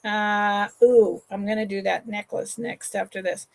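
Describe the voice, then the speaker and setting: Slow, relaxed woman hosting a live sale. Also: mid-range voice, creaky, no echo. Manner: chatty, unhurried, trails off and restarts sentences; affectionate to viewers, calls them honey and sweetheart. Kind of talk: slow, relaxed live-stream sales chat.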